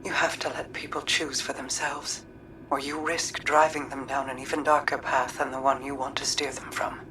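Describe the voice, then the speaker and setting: An actress playing a calm, wise, compassionate confidant in a video game, gently counselling, somewhat distant with music behind. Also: female voice, a bass voice, moderate pace, noisy background. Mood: compassionate, firm. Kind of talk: video game cutscene acting.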